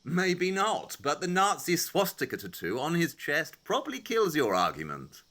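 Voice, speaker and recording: Superior English detective for audio drama: crisp, sardonic, forceful, wildly varied pitch. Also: male voice, wildly swooping pitch, moderate pace, exaggerated voice. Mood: condescending, dry. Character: brilliant, condescending English detective, brisk and sardonic.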